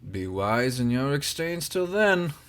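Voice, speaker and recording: A man's voice: mid-range voice, sing-song, measured pace, a bit bright. A man playing a gracious, hospitable duke with a courtly, commanding manner in an amateur period audio drama. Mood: genial. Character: gracious, hospitable nobleman duke hosting guests.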